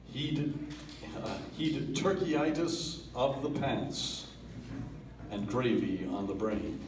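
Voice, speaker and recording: A man giving a dramatic reading of a comic poem at a family gathering, theatrical, with swells and hushed drops. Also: mid-range voice, animated, slow pace, background noise. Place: family holiday gathering in a room. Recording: roomy, distant home video.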